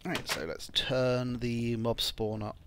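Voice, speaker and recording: A man with a croaky, phlegmy voice from a cold, talking through a building game in casual commentary and thinking aloud with frequent ums. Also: medium pitch, flat delivery, takes it slowly, background noise. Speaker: gameplay channel host. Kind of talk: casual let's-play commentary.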